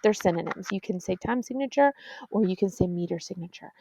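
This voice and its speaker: A choir teacher, patiently explaining sheet music to middle school singers and counting beats aloud. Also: female voice, on the low side, animated, brisk, vocal fry, dark tone, slight background noise. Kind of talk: classroom music lesson. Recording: dull, dry lo-fi audio.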